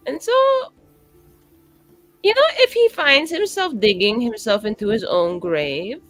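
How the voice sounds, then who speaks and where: A woman with a fairly high, very animated voice plays a tabletop roleplaying game. She talks in a loose, rambling, playful way and slips into acting out small exchanges. Faint music plays behind her. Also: a little slow. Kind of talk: casual in-character and table chat.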